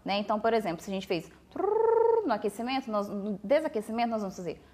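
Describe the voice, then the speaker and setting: A Brazilian woman with a somewhat high voice, speaking as a speech therapist on a TV talk show. She explains patiently and steadily, leaning on little checks like "né" and "tá" as she goes. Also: sing-song, fast talker, heavy background noise. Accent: Brazilian Portuguese. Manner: patient, explanatory, uses many fillers like "né" and "tá". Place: TV studio.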